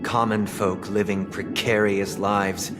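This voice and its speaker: An actor playing a composed, courteous leader in a video game, speaking in measured, formal oratory. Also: male voice, on the low side, flat delivery. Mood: solemn, persuasive. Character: composed, courteous male leader, solemn and grandiloquent. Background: game music.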